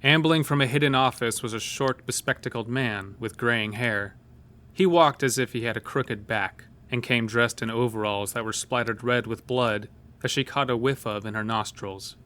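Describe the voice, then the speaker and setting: A man's voice: medium pitch, normal speed, fairly bright tone. A man narrating a scripted horror story, with a mid-range voice.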